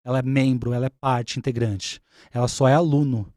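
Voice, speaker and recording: A man's voice: medium pitch, steady tone, fairly quick, a little mellow, no room sound, clean studio sound. A man speaking as a guest on a podcast, explaining his spiritual practice patiently and repeating key points. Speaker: umbanda spiritual leader and guest.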